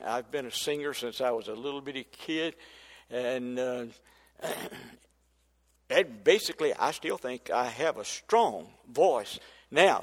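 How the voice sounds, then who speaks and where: A man's voice: mid-range voice, fairly bright tone, big-room sound. An older man, preaching a sermon in folksy storytelling style that builds to emphatic preaching. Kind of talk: sermon testimony.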